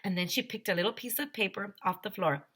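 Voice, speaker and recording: A woman teacher reading a children's storybook aloud, animated and emphatic, speaking directly to her young listeners. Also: mid-range voice, fast talker, slightly rough.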